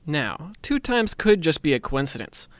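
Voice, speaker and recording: A man's voice: a little high, big pitch swings, normal speed, no echo. A man playing a smug, teasing, intimidating office boss who talks in a chatty, self-satisfied way in an ASMR roleplay. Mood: smug, measured.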